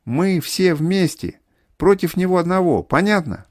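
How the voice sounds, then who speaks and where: Мужчина озвучивает отчаянную дочь, которая резкими, срочными приказами командует семьёй в аудиорассказе. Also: male voice, on the high side, very animated, moderate pace, husky, no echo, studio quality, full character voice. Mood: urgent commanding. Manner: urgent, clipped orders. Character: commanding, desperate daughter rallying her family.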